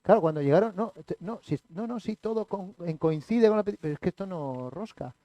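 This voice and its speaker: A man presenting a book event, speaking in a measured, explanatory way. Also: high-pitched, lively intonation, mellow, no room sound. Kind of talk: conversational discussion.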